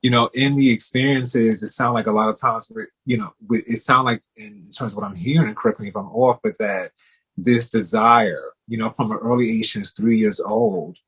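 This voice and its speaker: A man's voice: fairly low pitch, fairly even intonation, moderate pace, fairly bright tone, husky, rough recording. A man hosting an interview, responding to his guest in a supportive, affirming way with many "you know" asides. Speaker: host, therapist-style interviewer.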